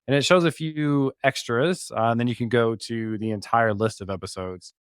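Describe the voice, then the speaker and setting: A man's voice: mid-range voice, steady tone, moderate pace, clear, resonant tone, no room sound, clean studio sound. A man, a podcast co-host, casually talking through a walkthrough in a conversational way. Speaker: podcast co-host, guest on the show.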